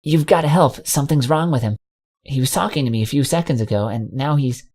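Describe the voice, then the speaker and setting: A man's voice: medium pitch, a little fast, darkish tone, close to an everyday voice. A man doing a nervous stammering pony mare. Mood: panicked. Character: anxious young mare pony.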